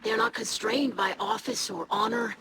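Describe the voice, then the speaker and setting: An actress voicing an earnest young female alien in a video game, with a breathy, whispery rasp and formal, measured speech. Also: on the high side, fairly even intonation, heavy background noise, close to an everyday voice. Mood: guarded, hurt. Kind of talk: scripted video game dialogue.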